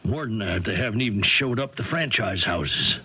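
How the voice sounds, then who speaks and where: Bright-edged, husky worried father. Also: fairly low pitch, lively intonation, full character voice. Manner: hesitant, measured explaining. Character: worried, reasonable middle-aged father, restaurant owner. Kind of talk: 1970s radio drama acting. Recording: dull lo-fi 1970s radio recording.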